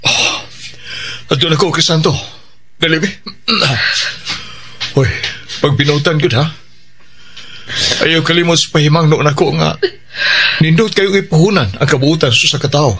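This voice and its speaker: An actor playing a devout working father with a Bisaya Filipino accent, gently giving advice in a radio drama. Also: on the high side, normal speed, pauses often, a little hiss or hum, natural voice. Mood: gently admonishing. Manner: gently admonishing, earnest.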